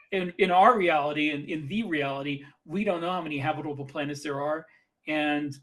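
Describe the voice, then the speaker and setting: A man's voice: a little high, a bit bright. A man co-hosting a podcast, explaining his opinions casually and emphatically, often repeating phrases as he argues. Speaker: podcast co-host and author.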